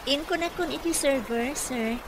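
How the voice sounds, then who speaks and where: A woman's voice: sits high, normal speed, background noise. A woman acting a teasing, efficient office colleague in an Ilocano radio comedy drama, fairly matter-of-fact.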